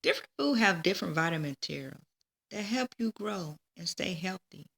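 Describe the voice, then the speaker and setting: A woman reading a children's storybook aloud, with expressive, deliberate phrasing. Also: fairly low pitch, vocal fry. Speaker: storybook reader. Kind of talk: children's read-aloud narration.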